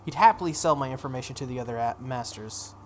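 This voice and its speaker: A playthrough host voicing a sharp-tongued, haughty teenage girl mage from a visual novel, brisk and scolding. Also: mid-range voice, a little hiss or hum, natural voice. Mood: dismissive, annoyed.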